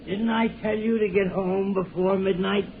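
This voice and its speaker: A man's voice: high voice, little pitch movement, normal speed, phone-quality sound, a little hiss or hum. An actor playing a drunken, bullying middle-aged father who snarls slurred threats, in a 1940s radio drama.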